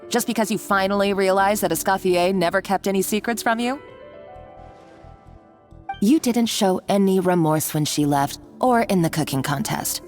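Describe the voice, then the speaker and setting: A woman's voice: medium pitch, close to an everyday voice. An actress voicing a scheming, mocking woman villain in a video game, speaking in a bossy, condescending way. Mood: scornful. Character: scheming, taunting woman villain, cruel and gloating. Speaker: game character.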